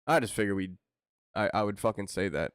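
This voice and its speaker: A man's voice: on the low side, a little fast, clean tone, no echo, clean studio sound. A man co-hosting a casual podcast, blunt and wisecracking, swearing freely. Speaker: podcast co-host.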